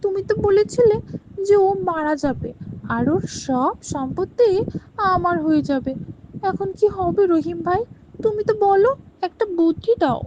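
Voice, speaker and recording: Mean greedy stepmom, woman putting her on, super high sneering voice, lively, lo-fi sound. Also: moderate pace. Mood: anxious. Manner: harsh, scolding, sneering. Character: cruel, greedy stepmother in a cartoon. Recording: dull lo-fi with faint hiss.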